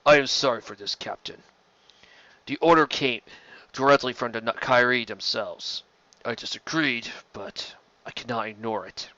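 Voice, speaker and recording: A man's voice: medium pitch, moderate pace, bright, forceful tone, dry recording, highs cut off, natural voice. Man putting on a courteous, guarded alien administrator. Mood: apologetic. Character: polite, guarded male alien administrator with red eyes.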